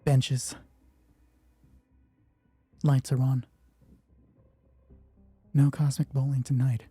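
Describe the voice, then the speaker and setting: Covert squad operative, female played by a male game master: quiet, clipped, deadpan wit, dark husky tone for tabletop roleplay. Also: medium pitch, extremely slow, dry recording. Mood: hushed, wry. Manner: hushed, clipped, dry.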